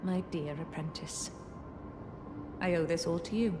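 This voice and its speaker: Low, slow-spoken proud sorceress played by a woman. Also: noisy background, lightly acted. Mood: pleased, triumphant. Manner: measured, formal, archaic phrasing. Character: composed female sorceress mentor, proud, quietly ambitious. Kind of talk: dramatic fantasy game acting. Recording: voice under clear background music.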